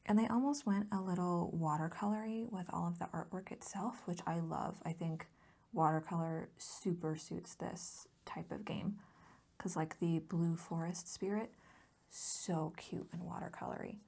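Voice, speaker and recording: A woman gives a soft-spoken ASMR voiceover, close to the mic. Her voice is mellow and breathy, often slipping toward a whisper with a creaky fry. She chats casually and a little ramblingly, with gentle enthusiasm. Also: lowish voice, fairly even intonation, moderate pace. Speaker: ASMR video host.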